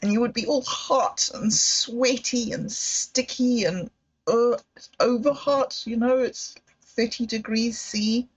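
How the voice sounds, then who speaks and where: A woman who grew up in Zimbabwe, telling rambling personal stories on a livestream with frequent laughs and trailing pauses. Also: a little high, fairly even intonation, slightly rough. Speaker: livestream host. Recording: dull lo-fi sound.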